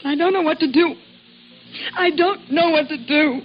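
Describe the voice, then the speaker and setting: A woman's voice: high voice, normal speed, soft background music, lightly acted. Guarded, refined accused wife played by a woman. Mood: lost, uneasy. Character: guarded, bewildered, worldly woman accused of murdering her husband. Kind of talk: 1950s radio drama acting. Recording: muffled, noisy old radio recording.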